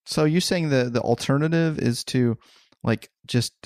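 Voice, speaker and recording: A man's voice: medium pitch, a little slow, a little mellow, no room sound, clean studio sound. A man hosting a podcast who talks casually about his own struggles, jokes around, and fills his speech with "you know.". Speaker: podcast host. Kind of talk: casual self-disclosing chat.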